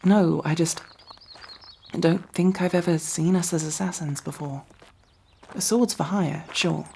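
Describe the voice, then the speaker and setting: A woman acts out a young female elf rogue in a fantasy ASMR roleplay, proud, nervous and quick to defend herself. Her voice is low and slow, wry and halting. A faint noise sits behind her. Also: steady tone, gravelly, mellow. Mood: wry, defensive.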